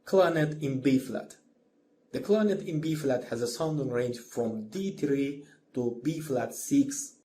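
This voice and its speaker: A man giving a scripted music lesson as a lecturer, in a measured, didactic manner. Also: mid-range voice, dark tone. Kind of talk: scripted instructional narration.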